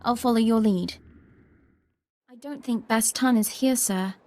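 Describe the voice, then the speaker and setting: A woman playing a dutiful young military ensign in a video game, brisk and earnest. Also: fairly high pitch, darkish tone, no echo, lightly acted. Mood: earnest, alert.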